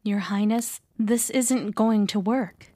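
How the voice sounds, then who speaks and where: A woman's voice: mid-range voice, slow, dark tone, natural voice. A woman playing a sceptical, formal young princess in an audio drama, questioning a plan.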